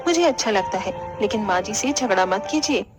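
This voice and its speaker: A woman plays a meek young village bride in a cartoon family drama. She is soft, polite and pleading, dropping below the performer's usual register, with music behind her. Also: medium pitch, lively intonation, moderate pace, voice pushed down, close to an everyday voice. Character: gentle, dutiful young village daughter-in-law, meek and respectful.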